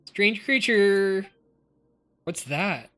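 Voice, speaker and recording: A woman's voice: medium pitch, lively intonation, very slow, fairly bright tone. A woman reading lines from a story game aloud. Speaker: game streamer.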